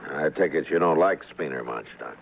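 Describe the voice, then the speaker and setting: An actor playing a steady, stern frontier marshal in an old radio western, terse and dry with quiet authority. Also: male voice, extremely low pitch, brisk, creaky, breathy edge, exaggerated voice. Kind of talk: 1950s radio western acting and narration. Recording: old radio recording, narrow band, loud noise.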